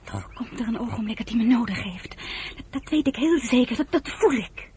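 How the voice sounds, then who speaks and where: An actress playing a sensitive young girl, devoted and hesitant, speaking haltingly in a radio drama. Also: fairly high pitch, animated, husky. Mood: sad, resigned. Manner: hesitant, soft-hearted, halting. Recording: noisy old radio play recording.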